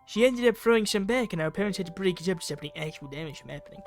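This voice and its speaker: Male gamer narrator, slightly high voice, theatrical read with casual asides, over background music. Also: animated, racing. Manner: theatrical reading, breaks into offhand asides. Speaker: video game player reading aloud. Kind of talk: ASMR-style visual novel read-through with asides.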